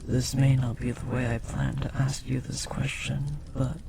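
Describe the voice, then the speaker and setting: A man playing a devoted, lovestruck demon in an intimate audio roleplay, speaking formally, earnestly and with breathy hesitation. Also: medium pitch, level tone, moderate pace, dark tone, slight background noise. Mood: tender, yearning. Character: devoted, lovestruck powerful demon bound to a sorcerer.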